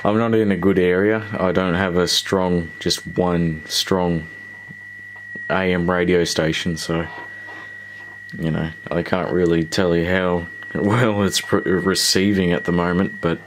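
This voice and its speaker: A man with a low-pitched voice hosts a casual repair vlog. He speaks hesitantly, working things out aloud as he goes. The recording carries clear background noise and an electrical hum. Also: flat delivery, unhurried. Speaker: host of a radio repair video.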